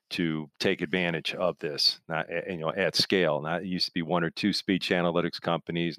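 A man with a notably flat, low-key melody explains his field as an interview guest. His delivery is conversational and wandering, and the sound is dry and close. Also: clear, resonant tone, no echo. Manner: rambling, explains through analogies and imagined quotes. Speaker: interview guest, software industry expert.

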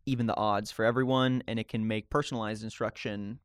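A man's voice: fairly low pitch, steady tone, brisk, clean tone, no echo, studio quality. A man hosting a podcast interview, chatting in a friendly, casual way with fillers. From raised speaking Spanish at home, mother from Colombia. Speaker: podcast host.

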